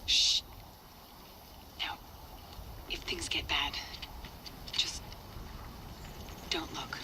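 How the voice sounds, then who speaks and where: A woman's voice: low voice, very animated, extremely slow, distant mic, background noise, exaggerated voice. A woman playing a grave, protective adult survivor who speaks plainly and consolingly in a game audio drama. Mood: hushed, protective.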